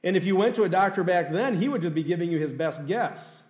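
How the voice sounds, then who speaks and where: A man's voice: sits high, expressive, fast, a little mellow. A male pastor preaching a sermon in a conversational way, often asking the congregation questions. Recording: echoey room.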